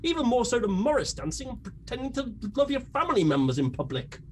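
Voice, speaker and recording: Someone playing a pompous male detective in a radio spoof, dry and full of himself, really animated, slight hiss behind. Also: mid-range voice, fast, lightly acted. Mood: pompous deduction. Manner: pompous, dry, self-important. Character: vain, pompous, drily comic male gentleman detective. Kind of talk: comedy radio play acting.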